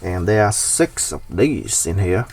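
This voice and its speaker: A man's voice: deep voice, sing-song, unhurried, a little mellow, slight background noise. Man giving a DIY tutorial, slightly distant mic. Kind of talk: casual hands-on explainer.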